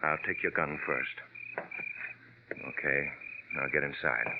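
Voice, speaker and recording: A man's voice: rumbling low, slow pace, gravelly, heavily acted. An actor playing a glib, scheming male blackmailer, in 1940s radio drama style. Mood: cold menace. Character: smooth-talking, cold, scheming male blackmailer villain. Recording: muffled, noisy old radio recording.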